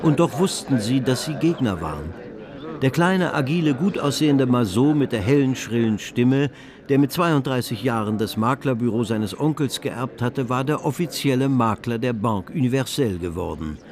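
A man's voice: mid-range voice, expressive, moderate pace. A man narrating a historical radio drama in a composed, scripted storytelling style. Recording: music under the narration.

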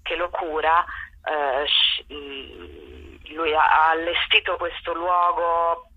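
A woman's voice: low-pitched, expressive, takes it slowly, breathy edge. A woman giving a radio interview as a guest over the telephone, hesitant and searching for words. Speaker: theatre performer interviewed as guest. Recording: dull lo-fi telephone line.

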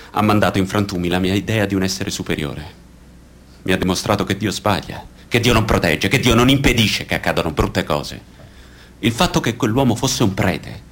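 Radio drama casting: embittered adult survivor of abuse, low-pitched, deliberate, declarative delivery. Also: male voice. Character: bitter adult male survivor of priest abuse, disillusioned, testifying. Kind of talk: dramatised testimony in documentary radio theatre.

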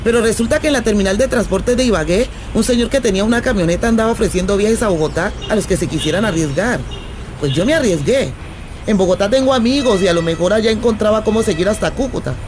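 A woman's voice: mid-range voice, fast, noisy background, lightly acted. An actress playing a romantic, adventurous woman in love, with a Colombian accent, in a radio drama. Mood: excited, storytelling. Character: romantic, adventurous woman devoted to distant lover.

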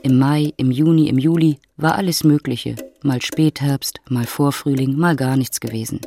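A man's voice: medium pitch, fairly even intonation, normal speed, gravelly, dark tone, soft background music. A man narrating a literary radio drama in a scripted storytelling style.

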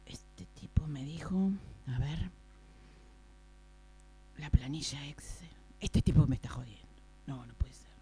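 A woman's voice: low-pitched, mellow, husky, exaggerated voice. A woman with an Argentine accent playing a naive, pushy, flustered new office clerk who repeats herself and stammers, in a radio play. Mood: muttering, baffled. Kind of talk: improvised radio play acting.

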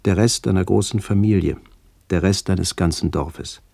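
A reflective middle-aged man tells a literary radio story. His voice is very low and husky, with a gravelly edge, and his delivery is measured and contemplative. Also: warm tone, no echo. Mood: pensive. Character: reflective man visiting his ageing family. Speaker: narrator. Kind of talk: literary radio play narration.

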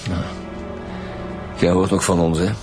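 A dry, low-voiced Flemish chief inspector. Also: male voice, level tone, measured pace, over music. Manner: firm, dryly sarcastic. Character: seasoned male chief inspector, dry and firm. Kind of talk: radio drama acting.

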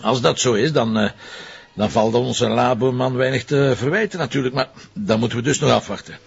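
A man's voice: medium pitch, fairly quick, gravelly, slightly rough, muffled recording. An actor playing an anxious, devoted Flemish police commissioner and expectant father in a naturalistic TV drama. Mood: weary, businesslike.

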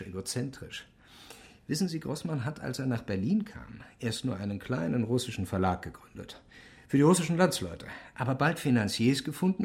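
Fussy, eager official, animated delivery. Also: male voice, gravelly. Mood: confiding. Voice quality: voice not deep despite bulk. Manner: hesitant, stammering, chatty. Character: massive, fussy, eager publishers' association official. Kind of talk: radio drama acting.

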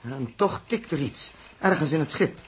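Actor as a commanding, animated spaceship captain. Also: male voice, on the high side, normal speed, close to an everyday voice. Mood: concerned. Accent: Netherlands Dutch. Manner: authoritative, clipped orders. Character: commanding, terse male spaceship captain, serious and urgent. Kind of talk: 1960s Dutch radio drama acting. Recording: noisy 1960s radio recording.

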